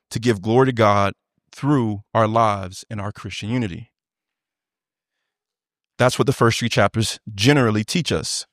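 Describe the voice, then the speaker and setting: A man with a deep voice, a pastor preaching an earnest expository sermon in church and turning aside now and then to talk to the congregation. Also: dry recording, studio quality. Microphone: microphone.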